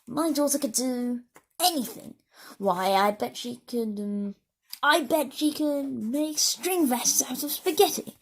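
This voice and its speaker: A woman voicing a boastful old miller who brags about his daughter in a high, breathy voice. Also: measured pace, exaggerated voice. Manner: bragging, excited.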